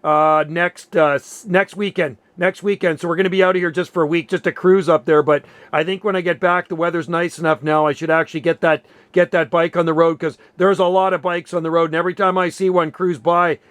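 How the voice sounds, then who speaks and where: A man's voice: a little high, flat delivery, brisk, hardly any pauses, fairly bright tone, dry recording. A man with a Canadian accent chatting casually and informally as an amateur radio operator.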